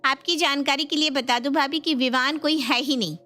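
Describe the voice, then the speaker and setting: A woman voicing a sharp-tongued young sister-in-law who taunts and scolds in a dramatized moral story. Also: high-pitched, fairly even intonation, normal speed, faint music behind, voice pushed up. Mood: scornful. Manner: mocking, scolding.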